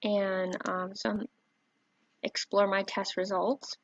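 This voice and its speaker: Soft-spoken woman with a gravelly edge. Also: medium pitch, little pitch movement, slow pace, dry recording. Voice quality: warm. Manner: soft-spoken, gentle, with frequent fillers. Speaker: teacher. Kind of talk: screen-recorded tutorial walkthrough. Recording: dry, slightly dull home recording.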